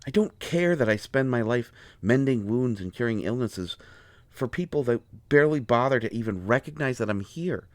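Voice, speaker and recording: A man playing a proud, hurt, lovestruck healer in an intimate audio roleplay, speaking earnestly and haltingly with deep feeling. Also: lively intonation, dry recording, close to an everyday voice. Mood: fervent, frustrated. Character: proud, wounded, lovelorn male healer with a limp.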